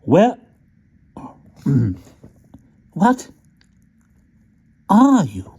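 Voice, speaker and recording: A man's voice: huge pitch swings, very slow, warm tone, slightly rough, full character voice. Man putting on a pompous little toy soldier. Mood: exasperated. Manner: lofty, formal, high-pitched. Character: small brave wooden toy soldier, male, pompous.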